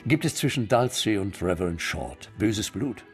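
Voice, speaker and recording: An actor playing a gruff, sarcastic homicide sergeant who presses witnesses in a radio drama. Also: male voice, on the low side, expressive, normal speed, soft background music. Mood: questioning. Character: gruff middle-aged male homicide sergeant, impatient. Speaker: police detective sergeant. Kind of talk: German radio play acting.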